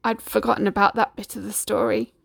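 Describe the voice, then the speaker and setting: A woman voicing an eager, curious young boy in a storybook with a raised, bright voice. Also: medium pitch, little pitch movement, brisk, gravelly, no echo, studio quality.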